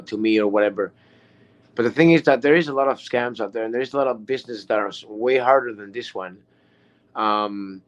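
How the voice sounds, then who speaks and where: A man's voice: medium pitch, a bit bright. Rambling man in his thirties. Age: 30s. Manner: rambling, repeats words and restarts often. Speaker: online business member. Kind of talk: casual testimonial chat.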